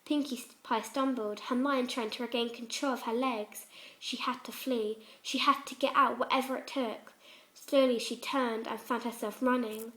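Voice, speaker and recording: A woman's voice: a little high, fairly even intonation, moderate pace, a bit bright. A woman reading fan fiction aloud as a dramatic scripted story narration.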